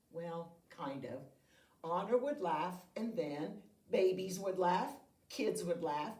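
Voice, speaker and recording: A woman reading a children's picture book aloud with deliberate, expressive phrasing meant for young listeners. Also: on the low side. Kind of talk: read-aloud storytelling. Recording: roomy echo, distant microphone.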